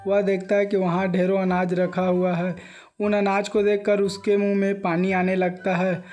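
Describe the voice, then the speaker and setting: A male narrator reads a scripted moral tale for children. His voice is high for a man and soft-edged, with very even intonation and music playing behind it. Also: normal speed. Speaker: story narrator.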